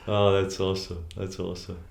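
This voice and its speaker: A man's voice: low voice, level tone, fairly quick, creaky. A man hosting a casual interview who asks short follow-up questions and teases his guest. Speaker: host. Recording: roomy echo.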